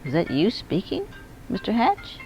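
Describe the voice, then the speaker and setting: A woman's voice: medium pitch, huge pitch swings, unhurried, darkish tone, soft background music, rough recording. A woman voicing a busy, plain-spoken newsstand man in a children's storybook, with emphatic delivery. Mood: surprised. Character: busy newspaper-stand man, under the weather, taken aback. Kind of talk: storybook character voice.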